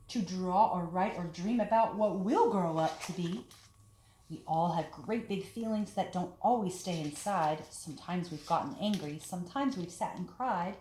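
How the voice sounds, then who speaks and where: A woman leading a children's storytime, talking and reading aloud in simple, deliberate phrases meant for young children. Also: on the low side, warm tone. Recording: echoey room with background hiss.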